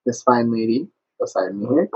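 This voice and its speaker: A man who is a chef, introducing himself casually as a guest in a halting, playfully formal way. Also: mid-range voice, expressive, dark tone, slightly rough, lo-fi sound.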